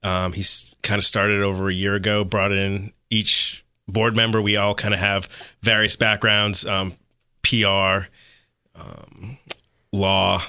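Low, bright-voiced man, dry close sound. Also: slow, clear, resonant tone, no room sound. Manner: relaxed, hesitant with fillers. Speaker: board member. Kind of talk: casual interview talk.

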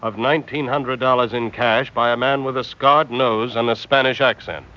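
An actor in a 1960s radio drama playing a formal newscaster, reading bulletins in a clipped announcing style. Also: male voice, medium pitch, fairly even intonation, normal speed, a little hiss or hum, close to an everyday voice. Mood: formal, urgent. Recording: dull vintage radio recording.

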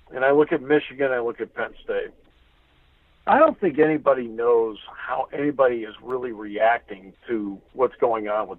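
A man's voice: medium pitch, dry recording. A man who works as a sports announcer, giving conversational analysis as a guest in an emphatic way, repeating phrases for emphasis. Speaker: radio play-by-play sports announcer, interview guest. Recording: tinny narrow phone-like line.

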